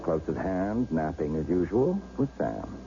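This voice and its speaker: Easygoing commercial artist narrating: low, lively voice, muffled vintage broadcast. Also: male voice, a little slow, vocal fry, faint music behind, exaggerated voice. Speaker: narrator and lead. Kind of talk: 1970s radio drama acting. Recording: dull 1970s radio broadcast.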